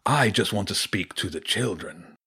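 A man voicing a large grey wolf villain in a storybook, confident and taunting with mock-friendly, unhurried menace. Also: low voice, animated, bright, forceful tone, husky, full character voice. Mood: coaxing, sly. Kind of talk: audiobook character voice.

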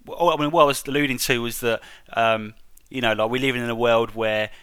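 A man's voice: medium pitch, fairly even intonation, a little fast, fairly bright tone, clean tone, no room sound, studio quality. A man hosting a casual discussion, feeling tentatively for his words and checking that he is understood. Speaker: interview host.

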